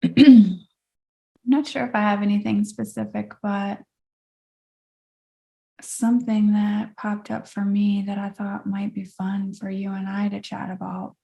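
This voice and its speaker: A woman co-hosting a podcast, talking in a slow, thoughtful, reflective way and searching for words. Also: medium pitch, little pitch movement, gravelly, dark tone. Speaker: podcast co-host and coach. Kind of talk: reflective casual chat.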